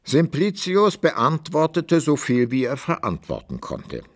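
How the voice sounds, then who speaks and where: A man as the narrator of a radio drama, telling an old adventure tale in a measured literary way with deliberate pauses. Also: fairly high pitch, huge pitch swings, full character voice.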